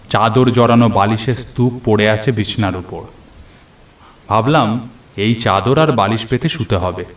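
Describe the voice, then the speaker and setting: A man's voice: mid-range voice, a little hiss or hum, lightly acted. A man playing a young nephew who narrates a horror cartoon story in a dramatic way. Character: young nephew narrating his night of terror. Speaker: narrator and character. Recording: roomy echo, faint rain and thunder.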